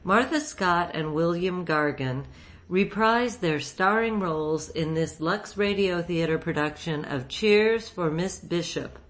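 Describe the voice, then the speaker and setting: A woman radio host reading a scripted introduction in a clear, deliberate presenting manner. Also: on the low side.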